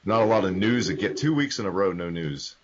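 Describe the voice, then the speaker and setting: A man with a slightly low voice hosts a loose, joking podcast, and he rambles and corrects himself as he goes. He is a little under the weather. The audio is dull and lo-fi. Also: animated, fairly quick, heavy background noise. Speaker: podcast host. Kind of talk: casual podcast chat.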